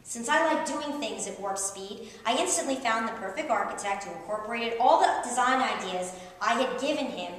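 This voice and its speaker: A middle-aged woman author reading a humorous personal essay aloud to an audience, with animated, wry storyteller emphasis. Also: a little high, moderate pace, few pauses. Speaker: author reading at a book tour event. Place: reverberant indoor event room. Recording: very echoey room, distant, faint background noise.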